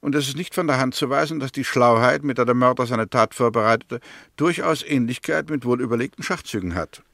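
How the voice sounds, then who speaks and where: A probing, sceptical Englishman in a 1960s German radio play. His medium-pitched voice has a creaky edge and sits in a dry studio. He lays out his points deliberately and precisely, with insistence. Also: fairly bright tone, no room sound. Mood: reasoned. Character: Mr. Hämmerte, probing, sceptical Englishman arguing a murder case.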